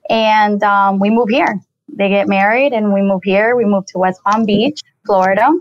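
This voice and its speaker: A woman's voice: mid-range voice, steady tone, moderate pace, no room sound, highs cut off. Chatty young woman from Colombia. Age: 20s. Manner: chatty, frequent "like" and "you know" fillers. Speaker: podcast guest, real estate investor. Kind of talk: casual interview storytelling.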